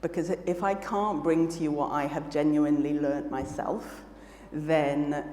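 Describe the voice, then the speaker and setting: A woman's voice: low voice, a little mellow, a little hiss or hum. A jet-lagged woman with a mixed British and South African accent, giving an earnest, reflective conference talk full of fillers and restarts. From Malawi. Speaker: keynote speaker. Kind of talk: conference presentation. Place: large conference hall on stage. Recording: echoey hall, faint ambience.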